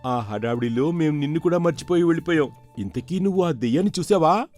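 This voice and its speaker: A man voicing an excitable, superstitious village manservant with exclamatory rustic speech in a folk tale. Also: a little high, fast, soft background music, natural voice. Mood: excited, incredulous. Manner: exclamatory, colloquial rustic phrasing.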